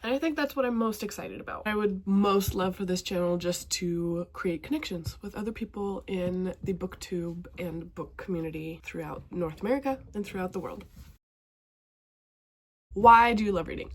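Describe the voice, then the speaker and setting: Chatty young woman, stuffy with a cold. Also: medium pitch. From northwestern Montana. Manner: chatty and enthusiastic. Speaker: BookTube vlogger. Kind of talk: casual talking-to-camera vlog.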